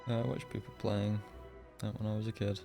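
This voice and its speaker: Low-key man streaming, slightly creaky voice. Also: flat delivery, brisk. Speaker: game streamer. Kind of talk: casual live gameplay commentary. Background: game music.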